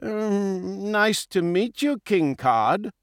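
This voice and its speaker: A man's voice: high voice, sing-song, measured pace, dry recording, clean studio sound, voice pushed up. A man voicing a plucky little fish in an audiobook, polite and earnest with a cheeky edge. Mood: puzzled, polite. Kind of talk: audiobook character voice.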